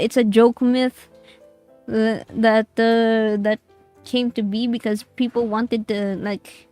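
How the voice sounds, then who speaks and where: A woman with a squeaky voice, streaming a game and chatting with viewers in a giggly, rambling way. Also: a little mellow.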